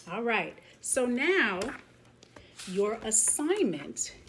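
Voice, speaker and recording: A woman reading a children's picture book aloud as a teacher would, expressively, pausing to comment to young listeners. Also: on the high side, sing-song, fairly bright tone, slight background noise.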